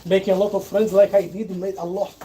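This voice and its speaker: A Brazilian man talks in English with a clear Brazilian Portuguese accent, in a loose, meandering livestream chat. He often stops to correct himself and picks up his thread again. Loud background noise runs under his voice throughout. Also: sits high, flat delivery, fairly quick. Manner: rambling, self-correcting, conversational. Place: at work, sorting bags.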